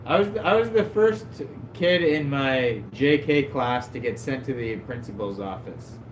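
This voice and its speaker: A man's voice: medium pitch, animated, a little slow. A man hosting a livestream, telling rambling stories in a loose, self-mocking way with lots of "like". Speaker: livestream host. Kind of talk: casual stream chat, storytelling. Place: at his desk at home. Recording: echoey room, faint background hum.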